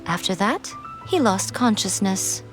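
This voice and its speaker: A woman voicing a sly, playful fox-spirit shrine maiden in a video game, teasing, unhurried and self-assured. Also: medium pitch, lively intonation, soft background music, close to an everyday voice. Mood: composed, explaining.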